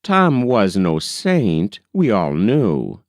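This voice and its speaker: A man reading a classic novel aloud as an audiobook narrator, in a measured, unhurried storytelling manner. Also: lowish voice, wildly swooping pitch, dry recording, studio quality.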